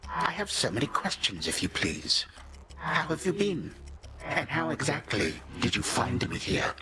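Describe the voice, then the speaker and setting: A man's voice: mid-range voice, big pitch swings, unhurried, heavy background noise. An actor playing an ancient, suave Titan grandfather in a video game, courtly, condescending and menacing in his delivery. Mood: silky surprise. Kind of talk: theatrical video game villain acting.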